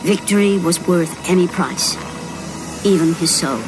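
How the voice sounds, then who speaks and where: An actress playing a grave, all-knowing narrator of a Greek myth in a video game, solemn and theatrical. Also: female voice, on the low side, a little slow, natural voice. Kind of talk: dramatic scripted narration of a mythic game story. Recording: loud music behind the voice.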